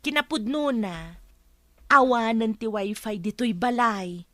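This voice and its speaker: Woman playing exasperated filipino teacher wife, ilocano accent, high bright voice, scolds, comedy drama. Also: takes it slowly, no echo. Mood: exasperated, scolding. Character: exasperated breadwinner wife, a busy, sharp-tongued teacher. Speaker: actress in an audio comedy drama.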